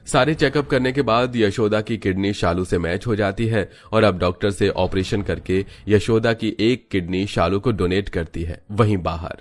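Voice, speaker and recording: A man's voice: lowish voice, moderate pace, few pauses. A man narrating a scripted moral story as a storyteller.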